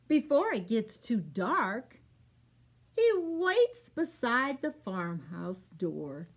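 A middle-aged woman, hosting a storytime for young children in an expressive, clearly phrased read-aloud manner. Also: fairly high pitch, drawn-out words. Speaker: children's storytime host at a public library. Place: small plain room, indoors.

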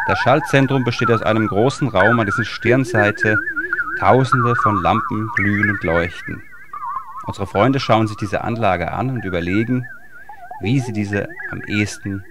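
A man reading scripted narration as the narrator of a children's audio drama. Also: fairly low pitch. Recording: clear background noise, ambient sound.

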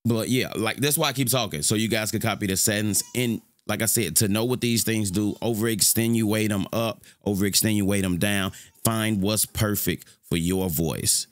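A young man with a deep voice giving a loose, rambling, slangy tutorial on his streaming setup from his home room. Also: moderate pace, no echo. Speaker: streamer showing mic settings. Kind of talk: casual screen-recorded tutorial.

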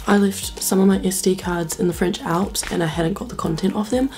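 A young woman in her twenties with a New Zealand accent, chatting casually to camera in a vlog, her voice croaky from a cold. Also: medium pitch, steady tone, brisk, noisy background. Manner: chatty, upbeat, lots of "like" and "um". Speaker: vlogger. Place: small bedroom and kitchen indoors.

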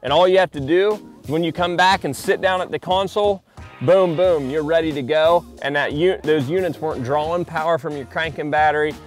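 A man walking through his fishing boat outdoors, talking in a relaxed, chatty way with many fillers and restarts. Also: on the high side, brisk, soft background music. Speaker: pro angler giving a boat tour. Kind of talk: casual walkthrough vlog.

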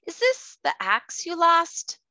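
Gentle storybook water fairy lady, read by a woman, kind n slow, bright voice, lilting. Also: very high-pitched, wildly swooping pitch, no echo, lo-fi sound, pitched above the performer's own voice, full character voice. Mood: kind, reassuring. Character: kindly, gentle female water fairy of the river. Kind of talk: children's storybook read-aloud.

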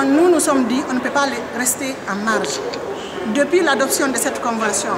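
A woman's voice: high voice, very noisy. A middle-aged woman from Guinea, a trade union leader, answering press questions earnestly and insistently into a handheld microphone. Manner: earnest, insistent, repeats key phrases. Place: indoor public building, crowded. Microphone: handheld reporter mic held close. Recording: loud crowd chatter behind.

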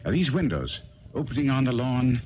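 An actor playing a shrewd, methodical gentleman detective in a 1970s radio drama, musing with hums and probing questions. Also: medium pitch, big pitch swings, moderate pace, close to an everyday voice. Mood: brisk, inquiring. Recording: dull, noisy 1970s radio recording.

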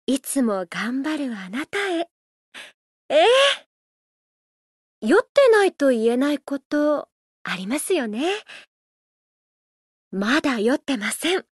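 A woman voicing a gentle, playful idol who loves a drink, speaking politely and teasingly in a mobile game. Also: sits high, sing-song, no echo, studio quality, exaggerated voice. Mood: tender, teasing. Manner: polite, unhurried, teasing, softly flirtatious. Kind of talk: Japanese mobile game character voice lines.

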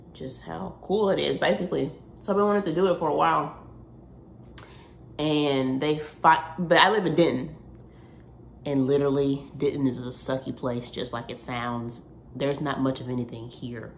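Young woman vlogger, deep raspy morning voice with fry, rambling casual chat into a phone in her kitchen and car. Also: slight background noise. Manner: rambling, chatty, self-interrupting. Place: home kitchen, then parked car. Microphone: phone camera at arm's length.

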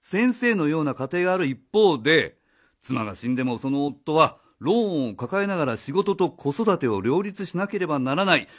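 A man's voice: fairly high pitch, sing-song, moderate pace, a bit bright. A man playing a blunt, cynical needler in a radio drama, arguing with sarcasm. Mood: argumentative.

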